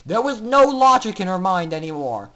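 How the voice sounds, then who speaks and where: A man's voice: sits high, big pitch swings, normal speed, bright, forceful tone. A man narrating fan fiction in a theatrical, intense style, as a dramatic story reader.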